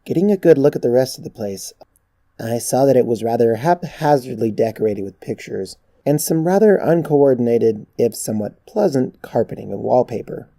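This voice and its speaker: A narrator reading a first-person story in a measured, reflective way. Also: mid-range voice, expressive, warm tone, no room sound. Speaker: narrator of fan fiction.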